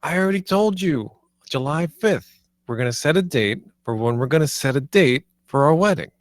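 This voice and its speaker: A man's voice: fairly high pitch, expressive, normal speed, no echo, clean studio sound, close to an everyday voice. A man playing a cocky, crude defendant in a comedy script table read, brash and slangy. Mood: exasperated. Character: cocky, crude young man awaiting murder trial.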